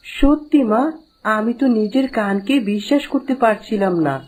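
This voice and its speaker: A woman's voice: medium pitch, normal speed, darkish tone, close to an everyday voice. A woman voicing a curious, friendly young village boy in a children's cartoon, speaking earnestly. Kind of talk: children's cartoon story dialogue.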